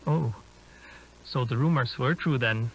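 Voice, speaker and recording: A man's voice: medium pitch, a little slow, fairly bright tone, breathy edge, poor audio. A man playing an inquisitive explorer, explaining things conversationally as he films a found-footage drama. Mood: sceptical. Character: inquisitive male urban-explorer filming a dinosaur park. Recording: humming background noise.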